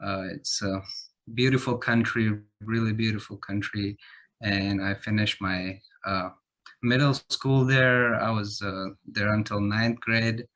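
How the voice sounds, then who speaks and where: Young guy from afghanistan, kinda low voice, lots of uhs as he talks. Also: fairly even intonation, a bit bright. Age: young adult. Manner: halting, many uhs. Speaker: guest. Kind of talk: casual interview talk.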